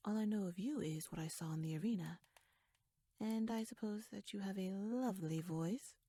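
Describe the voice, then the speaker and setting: Actress as a shrewd royal lady for an audio roleplay: hushed, airy voice, mid-range, measured courtly wit, very dry recording. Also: medium pitch, no echo, natural voice. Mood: teasing, poised. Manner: wry, courtly, measured, probing. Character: shrewd, teasing young noblewoman, poised royal chancellor. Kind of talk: ASMR audio roleplay, dramatic monologue.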